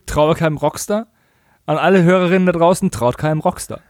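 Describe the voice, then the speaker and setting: A man's voice: on the high side, expressive, moderate pace, a little mellow, no room sound, studio quality. A man co-hosting a casual comedic recap podcast, slangy and mockingly acting out quoted dialogue. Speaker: podcast co-host.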